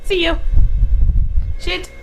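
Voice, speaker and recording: A woman's voice: very slow, a bit bright. A woman voicing a foul-mouthed, exasperated maid fighting zombies in an amateur comedy fandub, with a flippant, exclamatory delivery. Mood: resigned, flippant.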